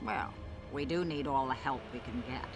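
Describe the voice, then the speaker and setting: A guarded sentry at a gang hideout in a video game. The delivery is firm and businesslike, with clear music behind it. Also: female voice, rumbling low, normal speed. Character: wary gang-base guard, protective and businesslike. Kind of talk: video game character dialogue.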